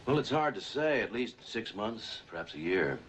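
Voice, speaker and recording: An actor in 1950s film style, playing a sober, clinical doctor who talks in a measured, matter-of-fact way. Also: male voice, very animated, a little hiss or hum. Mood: grave. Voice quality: very deep, gravelly. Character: sober, clinical doctor giving a grave prognosis. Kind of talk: 1950s Hollywood film acting.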